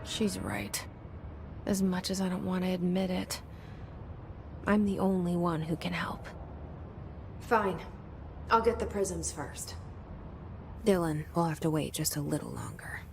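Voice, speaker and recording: An actress playing a guarded young woman agent in a game, speaking her inner thoughts quietly and answering tersely, with a gravelly voice. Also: fairly low pitch, a little slow, pauses often, slight background noise. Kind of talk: game voice acting.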